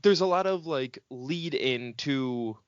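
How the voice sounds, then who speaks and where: A man talking casually as a podcast co-host, telling stories in an exasperated, animated way. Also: medium pitch, slow pace, smooth, dry recording. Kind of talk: casual chat. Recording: dull lo-fi podcast audio, dry.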